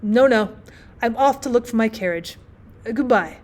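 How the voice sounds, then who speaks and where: A woman voicing a giddy, boastfully happy newlywed gentleman in an audiobook, tipsy, effusive and rambling. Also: a little high, normal speed, close to an everyday voice. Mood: flustered, cheerful. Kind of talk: acted audiobook storytelling.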